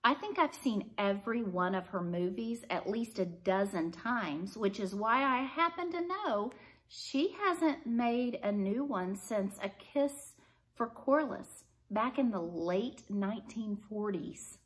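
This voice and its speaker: Storybook casting: female reader as a chatty adult woman, mid-pitched and unhurried, who gently probes with questions. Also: animated. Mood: gently confronting. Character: Alice, chatty adult woman, delighted then gently probing. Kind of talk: storybook character dialogue read aloud.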